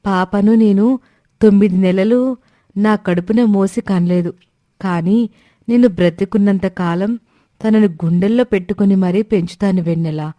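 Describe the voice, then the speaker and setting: Woman as devoted elder sister, mellow voice. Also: medium pitch, a little slow, no room sound, lightly acted. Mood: earnest, tender. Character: devoted, gentle elder sister raising the baby. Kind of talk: acted moral-story dialogue.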